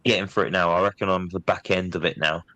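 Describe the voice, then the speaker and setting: A man's voice: low voice, fast, no echo, lo-fi sound. A man chatting casually on a podcast, bunged up from a cold. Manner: chatty, relaxed, says "mate" often. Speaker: podcast co-host. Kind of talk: casual chat.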